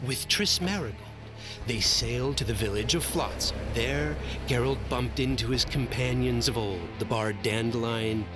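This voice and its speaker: An actor playing a wry, playful storyteller who narrates a fantasy game saga in scripted style, with teasing asides to the listener. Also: male voice, mid-range voice, normal speed, over music, lightly acted. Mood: wry, theatrical. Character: wry male storyteller recounting a fantasy saga as the hero's buddy.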